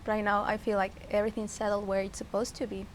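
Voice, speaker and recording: Woman, Peruvian Spanish accent, medium pitch, casual interview guest, reflective searching phrasing, clear ambient noise. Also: level tone, fast talker. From Peru. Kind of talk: casual personal conversation.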